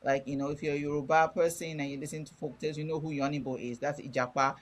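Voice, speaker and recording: A woman's voice: deep voice, steady tone, fast talker. Middle-aged woman, Nigerian accent, guest author, candid, explanatory, conversational interview. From Ibadan, Nigeria.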